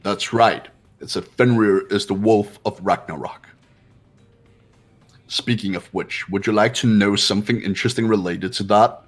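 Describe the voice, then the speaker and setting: A man's voice: lowish voice, steady tone, normal speed, faint music behind, natural voice. A man playing a haughty, cool-headed young man with a curt, condescending manner in a fan-dubbed game. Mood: composed. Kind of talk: fan-dubbed visual novel game acting.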